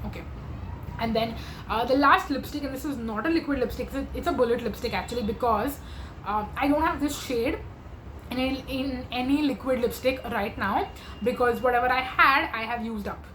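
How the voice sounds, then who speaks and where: A young woman talks through her makeup in an eager, rambling way, full of fillers. Her voice sits a little high and is going hoarse. The room echoes, with a faint hum behind her. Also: a bit bright. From India. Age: 20s. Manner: chatty and gushing, with many fillers. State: losing her voice. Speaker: beauty vlogger. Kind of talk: casual makeup chat to camera.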